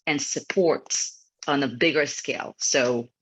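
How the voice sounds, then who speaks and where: A woman's voice: very deep voice, a little slow, fairly bright tone, husky. A woman in her thirties from Ukraine, a native Ukrainian speaker, giving an earnest personal account as an interview guest over a video call. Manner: earnest, slightly non-native phrasing. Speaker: interview guest, federation executive. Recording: dull lo-fi video call audio.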